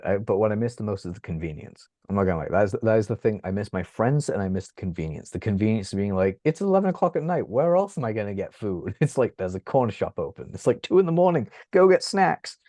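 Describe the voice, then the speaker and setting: A man, chatting as an interview guest from his bedroom at home, animated and laughing often. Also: on the low side, fast, hardly any pauses, no room sound. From England. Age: 30s. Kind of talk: casual interview chat. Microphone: video call.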